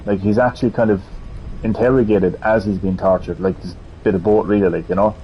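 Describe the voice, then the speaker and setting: A man's voice: fairly low pitch, steady tone, brisk, highs cut off, a little hiss or hum. A man giving casual gameplay commentary in a rambling, musing way as a gaming host.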